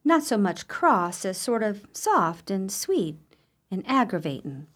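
A woman acting in a radio play as a fretful, soft-hearted grieving sister with a plaintive manner. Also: mid-range voice, very animated, slow, exaggerated voice.

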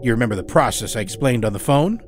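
A man's voice: medium pitch, lively intonation, fast, music in the background, close to an everyday voice. A man playing a smug, jovial doctor in a horror audio story, patronizing and coaxing as he talks. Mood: smooth, businesslike. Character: smug, jovial doctor running a sinister dream machine.

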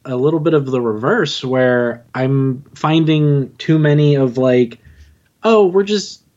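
A man's voice: medium pitch, slow pace, a little mellow. Wry man on slightly muffled audio. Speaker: podcast guest, audio drama writer. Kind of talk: casual chat.

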